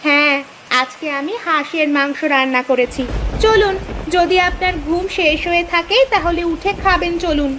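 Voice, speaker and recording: An extremely high-pitched village wife in a cartoon. She speaks expressively, tender yet quick to nag. Faint noise and a rainy ambience sit behind her. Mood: brisk. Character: village housewife, caring yet sharp-tongued with her husband. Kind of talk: Bengali cartoon dubbing.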